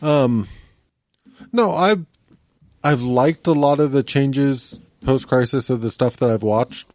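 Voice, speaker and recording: A man co-hosting a podcast in casual panel chat, explaining his views in a measured, qualifying way. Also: mid-range voice, a little mellow, dry recording. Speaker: podcast co-host.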